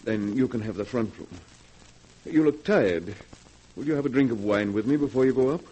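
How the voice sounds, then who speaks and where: An actor playing an unstable, secretive gentleman recluse with formal, theatrical manners in a 1940s radio horror drama. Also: medium pitch, expressive, normal speed, breathy edge. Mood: smoothly courteous. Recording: noisy old 1940s radio recording.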